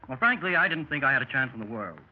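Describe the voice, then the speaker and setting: An actor as seasoned adventurer, animated 1930s radio voice. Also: male voice, medium pitch, very fast, close to an everyday voice. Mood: matter-of-fact bravado. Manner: storytelling, matter-of-fact bravado. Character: seasoned American secret service adventurer telling his exploits. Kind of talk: 1930s radio drama acting. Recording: muffled, noisy vintage 1930s radio recording.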